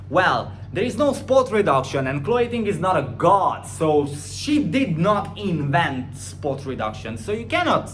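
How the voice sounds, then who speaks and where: A man with a Hungarian accent, a personal trainer answering viewer questions in a casual monologue, blunt and opinionated, swinging from loud rants to quieter explanations. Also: slight background noise. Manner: blunt and opinionated, rising loud and high when ranting; drops to a quieter, slower tone when explaining.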